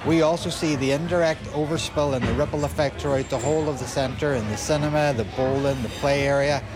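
A man's voice: mid-range voice, a little fast. Man, operations manager, news interview, upbeat.

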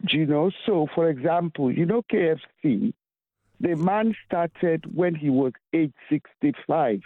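A man calling into a radio show, speaking earnestly and philosophically with slow, emphatic phrasing. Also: on the high side, lively intonation, no room sound. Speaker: radio caller. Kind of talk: phone-in call. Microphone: telephone. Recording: tinny phone line.

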